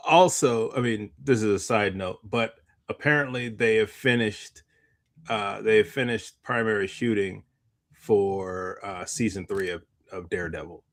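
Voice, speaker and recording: A man with a fairly low voice talks casually as a podcast co-host. He rambles in a halting way, often dropping a sentence midway and starting it again. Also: fairly even intonation, a bit bright. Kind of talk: casual chat.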